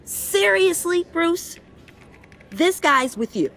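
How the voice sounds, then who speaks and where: An actress playing a grieving, determined young woman in a video game, accusing, with a halting, emotional and intense delivery. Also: very high-pitched, sing-song, fairly bright tone, soft background music, heavily acted. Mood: bitter, angry.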